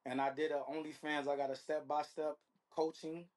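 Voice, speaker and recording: A man's voice: on the high side, level tone, moderate pace, a little mellow. A man speaking casually as an interview guest, using slang and repeated check-in phrases. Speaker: interview guest, reality dating show contestant. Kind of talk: casual conversational interview answers.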